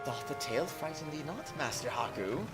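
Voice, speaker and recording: A man's voice: fairly high pitch, animated, a little fast, voice pushed up, close to an everyday voice. A man voicing an uneasy, florid scholar from a visual novel game with theatrical old-fashioned speech. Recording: music behind the voice.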